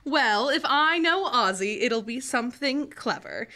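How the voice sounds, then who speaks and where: A young woman playing a sweet, gently meddling older mother in old-time radio comedy. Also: fairly high pitch, animated, measured pace. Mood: gently advising. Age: teens or young adult. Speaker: high school student actor. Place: school library room. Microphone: stand microphone.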